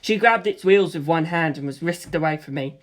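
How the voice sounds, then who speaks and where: A man reading a first-person action story aloud in a lively way, voicing gunfire sound effects himself. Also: on the high side, fairly quick. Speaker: audiobook reader.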